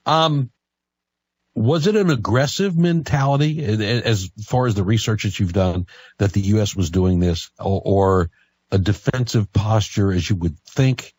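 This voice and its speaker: An American man works as a live talk radio host, interviewing and taking calls. His voice is on the low side and rough-edged, recorded dry and close. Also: a little slow, a little mellow, no echo.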